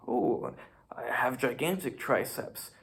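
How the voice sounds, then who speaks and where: Gravelly man rambling to camera. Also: mid-range voice, sing-song, takes it slowly, a bit bright. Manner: rambling, self-mocking, digresses while flexing on camera. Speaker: fitness vlogger. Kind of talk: casual rambling monologue to camera.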